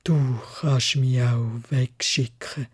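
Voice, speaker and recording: A Swiss German woman voicing a gentle, sorrowful, ugly male beast in a storybook fairy tale, speaking softly and haltingly. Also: extremely low pitch, steady tone, breathy edge, voice pushed down, full character voice. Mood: humble, tender. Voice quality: soft, gentle. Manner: slow, halting, melancholy.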